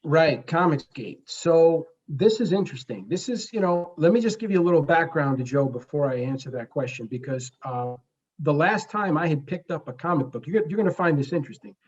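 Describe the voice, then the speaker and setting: Higher-voiced man, halting and earnest, dull audio. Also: animated, slightly rough. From the Bronx, New York, United States. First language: Spanish. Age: 40s. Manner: earnest, halting, frequent pauses mid-phrase. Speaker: guest, comic book creator. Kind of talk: conversational interview.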